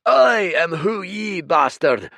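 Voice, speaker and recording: A man voicing a giant furious male spirit of rage who booms in a gravelly, hoarse, raised voice. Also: big pitch swings, slow pace, dry recording, heavily acted. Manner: booming, shouting.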